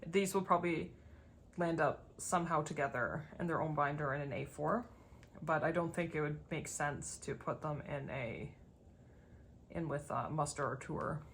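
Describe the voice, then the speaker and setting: Low-pitched, slightly gravelly woman, casual show-and-tell. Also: moderate pace, a little hiss or hum. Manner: rambling, thinking aloud as she sorts. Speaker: collector giving a binder tour.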